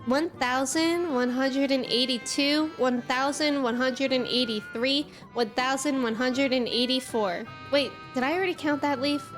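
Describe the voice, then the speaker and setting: Woman doing an excitable, high-pitched little fae artisan. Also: measured pace, few pauses, soft background music. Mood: absorbed, muddled. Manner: exclamatory, scatterbrained, old-fashioned wording. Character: excitable, absent-minded, eager fae artisan. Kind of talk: reading game dialogue aloud.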